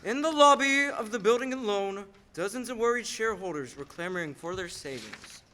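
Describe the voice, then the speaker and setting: A man playing a patient, authoritative boss of angels who narrates in a measured storytelling manner in a radio play. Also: high voice, lively intonation, a loud crowd, heavy background noise.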